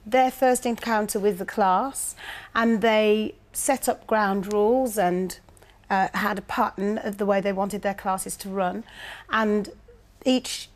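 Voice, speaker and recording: A woman's voice: medium pitch, steady tone, slow pace. Woman chatting on a review panel. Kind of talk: informal spoken book review.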